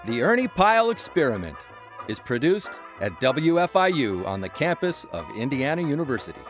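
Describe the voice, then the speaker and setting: A man's voice: medium pitch, very animated, brisk, music in the background. A man reading the closing credits announcement in a plain announcing manner. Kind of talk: scripted announcement.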